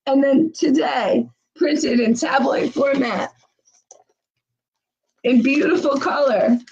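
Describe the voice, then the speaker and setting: A woman's voice: high voice, takes it slowly, creaky, darkish tone, highs cut off, slight background noise. Woman losing her voice, rallying viewers. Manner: urgent, exhorting. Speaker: livestream host. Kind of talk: fundraising livestream talk.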